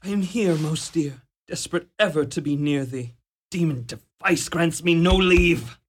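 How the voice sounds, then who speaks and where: A man's voice: on the high side, measured pace, breathy edge. A man playing a lovesick young suitor in an amateur radio drama, declaiming ornate archaic verse earnestly. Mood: yearning.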